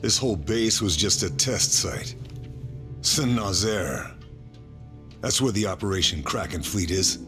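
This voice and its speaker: Very deep-voiced, determined sniper hero. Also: male voice, animated, unhurried, long pauses, fairly bright tone, soft background music. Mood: urgent. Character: gritty, determined Allied sniper soldier, calm and wry. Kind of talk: video game cutscene acting and mission narration.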